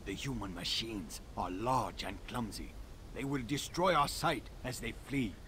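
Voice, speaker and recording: Low, solemn alien clan leader. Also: male voice, animated, a bit bright, slight background noise. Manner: measured, formal, grave. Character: solemn, dignified alien clan leader protective of his people. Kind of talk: video game cutscene acting.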